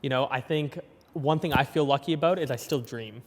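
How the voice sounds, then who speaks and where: A young man with a lisp, talking casually as a guest in an interview, eager and quick with his replies. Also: mid-range voice, long reverb tail. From Canada. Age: young adult. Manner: quick, eager, frequent "yep" and "yeah" backchannels. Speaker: guest, entrepreneur.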